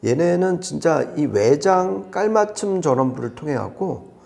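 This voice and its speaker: A man's voice: fairly high pitch, lively intonation, normal speed, vocal fry, mellow. A man explaining products conversationally as an audio reviewer, with an emphatic manner.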